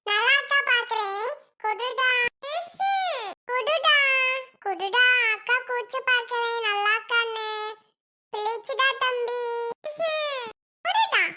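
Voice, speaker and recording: Tiny whiny girl, super high n bright, wheedly drawn out words, family drama. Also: animated, slow, exaggerated voice. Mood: wheedling. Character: small whiny girl who cries easily.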